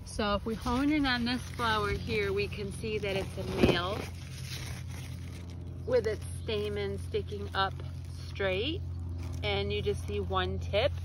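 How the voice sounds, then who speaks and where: A woman's voice: mid-range voice, background noise. A woman in her thirties with a stuffy, nasal voice, chatting casually as she hosts a gardening vlog outdoors, filmed on a phone at arm's length. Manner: chatty, friendly explainer. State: congested, nasal from allergies. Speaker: gardening channel host. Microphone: phone camera held at arm's length.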